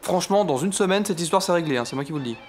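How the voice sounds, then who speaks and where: An actor playing a pompous, easily exasperated French army captain of the First World War in a comic audio drama, speaking in a theatrical, declamatory way. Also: male voice, a little high, expressive, rapid-fire, a little mellow, soft background music, close to an everyday voice. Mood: indignant.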